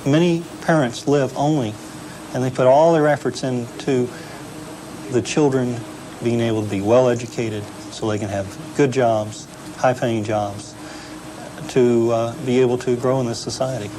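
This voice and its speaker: A man speaks at an average pitch as an interviewee. He explains haltingly, searching for words, and there is clear noise in the room behind him. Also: fairly even intonation. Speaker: interviewee explaining family culture. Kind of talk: interview answers.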